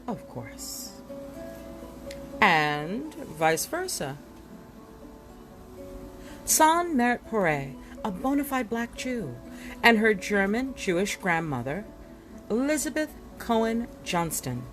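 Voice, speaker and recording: A woman narrates a history book as if presenting it to listeners. Her mid-pitched voice swings animatedly, with deliberate pauses and dramatic emphasis. Faint music plays behind her. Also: very animated, fairly bright tone. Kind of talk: expressive read-aloud narration with asides.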